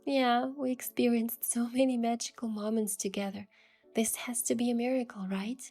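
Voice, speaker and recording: A young woman gently narrating a romantic story in the first person as its heroine, in a soft, hushed voice. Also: on the high side, steady tone, moderate pace, faint music behind.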